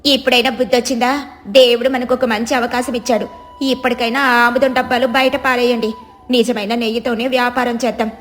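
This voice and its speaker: A woman's voice: fairly high pitch, level tone, fairly quick, faint music behind, close to an everyday voice. A woman voicing a devout, righteous village wife who admonishes earnestly in a moral story. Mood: admonishing.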